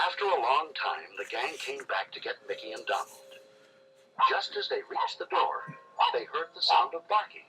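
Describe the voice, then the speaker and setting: Wildly animated male storybook narrator. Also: medium pitch, moderate pace, a little hiss or hum, poor audio. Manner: theatrical, animated. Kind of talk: dramatic scripted children's narration. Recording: music behind, played read-along recording.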